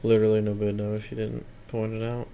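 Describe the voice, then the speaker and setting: Low, monotone man with a gravelly edge. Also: rapid-fire, dull audio, noisy background. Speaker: seller demonstrating equipment. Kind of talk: casual product walkthrough.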